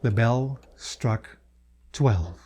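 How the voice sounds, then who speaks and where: Slow, theatrical male story narrator. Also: medium pitch, mellow, slight background noise. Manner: measured, deliberate, theatrical pauses. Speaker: narrator, reader. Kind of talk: dramatic literary reading, scripted narration.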